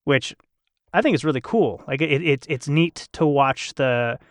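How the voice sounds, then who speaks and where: A man's voice: a little high, unhurried, no echo, clean studio sound. A man in a casual panel discussion, thinking aloud as he analyzes and explains. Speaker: cast member in a behind-the-scenes discussion.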